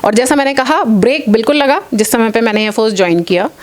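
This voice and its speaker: A woman's voice: fairly high pitch, fast talker. A woman in her late forties answering interview questions earnestly, mixing English phrases into her speech. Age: late 40s. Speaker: interview guest, athlete and former air force officer.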